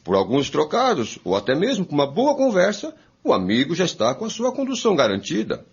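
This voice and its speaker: A man's voice: fairly high pitch, huge pitch swings, brisk, gravelly, breathy edge, full character voice. A man playing a friendly, attentive innkeeper in a radio drama, speaking in a courteous, encouraging way. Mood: reassuring. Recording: dull lo-fi audio.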